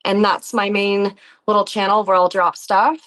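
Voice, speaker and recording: A woman chatting casually and reflectively as an interview guest, with an earnest, expressive manner. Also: medium pitch, normal speed. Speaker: interview guest, actor and musician.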